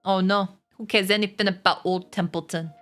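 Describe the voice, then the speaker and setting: A woman's voice: medium pitch, steady tone, normal speed, a bit bright, faint music behind, natural voice. Woman doing a grumpy sarcastic rat man. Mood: mocking. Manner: growling, sarcastic. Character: grumpy sarcastic male rat, lazy and mocking. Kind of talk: storybook read aloud.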